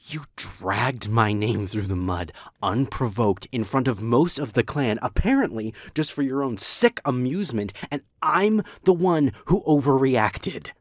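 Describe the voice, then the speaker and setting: A man playing a resentful, hot-tempered vampire with a husky voice in a scripted audio drama. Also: medium pitch, expressive, a little slow, hardly any pauses, no echo, clean studio sound. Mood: indignant. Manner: combative, foul-mouthed. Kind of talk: scripted audio roleplay acting.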